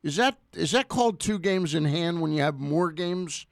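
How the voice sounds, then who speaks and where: A man's voice: on the high side, animated, normal speed, creaky, no room sound, studio quality. An American man hosting a sports show with casual, chatty talk, often checking facts with his partner.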